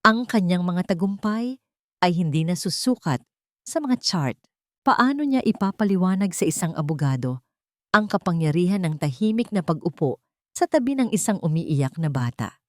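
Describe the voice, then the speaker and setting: Low-pitched Filipino woman narrating a drama. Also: sing-song, moderate pace, dry recording, studio quality. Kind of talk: scripted dramatic story narration.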